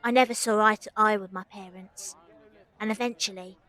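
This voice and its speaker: A woman playing a bright-voiced, rebellious circus girl. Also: mid-range voice, fairly even intonation, moderate pace, natural voice. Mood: wistful, reflective. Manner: cheeky, informal, impulsive. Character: rebellious, dreamy young Victorian circus girl. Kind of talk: audio drama acting and first-person narration.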